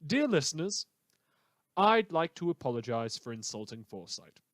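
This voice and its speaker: A man acting as a sardonic radio host delivers satirical news in a fan radio drama. His delivery swings widely in pitch, with theatrical emphasis and rambling, hesitant asides, all recorded close and dry. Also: sing-song, moderate pace, fairly bright tone, no echo. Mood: wry, mocking. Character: sardonic, irreverent male radio news host, gleefully approving of villainy.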